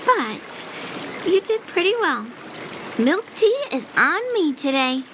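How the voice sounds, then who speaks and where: High-voiced, teasing young mentor. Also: female voice, very animated, takes it slowly, background noise, full character voice. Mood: playful, encouraging. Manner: teasing, encouraging. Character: teasing, self-assured young female mentor. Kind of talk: anime-style game voice acting.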